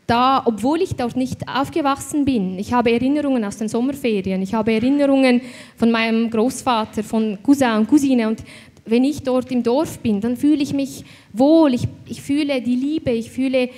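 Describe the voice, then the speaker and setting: A woman's voice: on the high side, darkish tone. Swiss German woman, raised voice, hesitant and reflective, hall echo. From Switzerland. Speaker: panel speaker. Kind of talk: panel discussion. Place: event hall. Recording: hall reverb.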